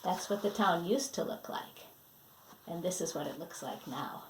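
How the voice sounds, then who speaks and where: A woman's voice: lowish voice, lively intonation, moderate pace, slight background noise, low-quality recording. A woman reading a picture book aloud to children, dramatic in delivery and putting questions to her young listeners. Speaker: children's story reader and teacher.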